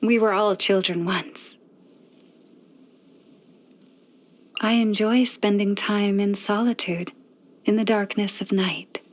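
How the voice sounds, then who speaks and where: A woman playing a gentle, serene young priestess in a video game, speaking softly, formally and reflectively. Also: medium pitch, steady tone, lightly acted. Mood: calm, reflective. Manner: soft, measured, formal, reflective. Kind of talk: scripted video game character voice lines.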